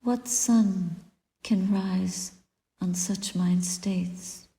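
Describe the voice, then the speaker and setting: Very mellow, slow woman meditation teacher. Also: medium pitch, steady tone. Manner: gentle, deliberate, long pauses between short phrases. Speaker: Buddhist nun, meditation teacher. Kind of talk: guided meditation, spoken dharma reflection. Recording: echoey room with long reverb.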